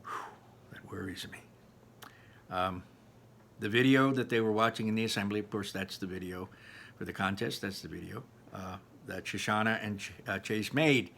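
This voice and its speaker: Bright, lowish-voiced man discussing a book. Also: gravelly, slight background noise. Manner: halting, emphatic, repeats himself for weight. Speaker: read-aloud host, teacher-like commentator. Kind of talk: informal commentary on a book chapter.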